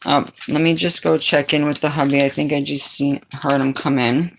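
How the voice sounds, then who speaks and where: A middle-aged woman from Brazil with a raspy, fairly low voice, chatting casually with viewers on a livestream and calling them sweetheart and darling. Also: level tone, moderate pace, a little hiss or hum. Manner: laughs often, calls viewers sweetheart and darling. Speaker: livestream host. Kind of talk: casual chat answering viewers.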